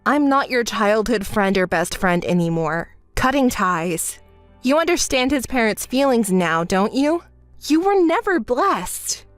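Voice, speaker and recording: A woman voicing a sensible, exasperated young woman, speaking in a measured, reproving manner in a dramatized story. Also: a little high, sing-song, no room sound, faint music behind, voice pushed down. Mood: firm. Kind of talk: dramatized story narration dialogue.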